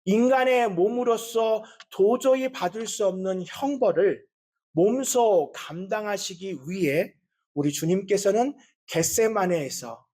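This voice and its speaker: A male pastor giving a Sunday sermon in an earnest, measured way, with a voice he calls not strong and easily strained. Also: high voice, darkish tone. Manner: earnest, with measured pauses and frequent fillers.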